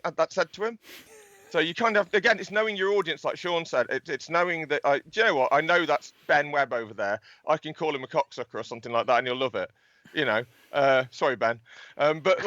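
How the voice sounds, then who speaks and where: A man from England co-hosting a casual chat, telling stories in a quick, animated way. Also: on the high side, no echo. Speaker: co-host. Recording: slightly dull, lo-fi sound.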